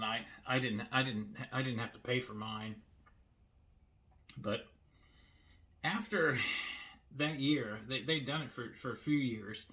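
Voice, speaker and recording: A man's voice: medium pitch, bright, forceful tone. An older man casually showing his cassette tapes at home, rambling and often repeating himself as he restarts his words. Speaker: video host showing his cassette tape collection. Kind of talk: casual show-and-tell narration. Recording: faint background noise.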